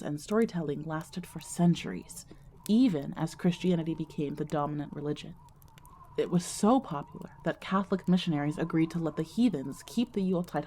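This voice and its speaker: A woman playing a wry storyteller who looks back on her school days in a scripted audio drama, conversational and deliberate. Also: deep voice, warm tone, slight background noise, natural voice. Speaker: narrator of a fiction podcast.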